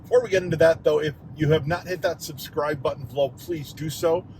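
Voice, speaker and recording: A hoarse man with a Midwestern American accent, hosting a casual shopping vlog and chatting about prices. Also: on the high side, brisk, darkish tone, slight background noise, rough recording. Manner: chatty, talks prices aloud. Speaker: vlog host. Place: car, then grocery store aisles.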